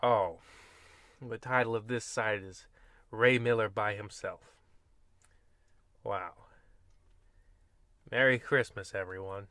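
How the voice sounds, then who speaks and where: A man's voice: lowish voice, fairly even intonation, slow pace, pauses often, bright, forceful tone, no echo. A man narrating in the style of an old-time horror radio host, played for comedy, with rambling, folksy and wry asides. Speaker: host and storyteller of a radio anthology.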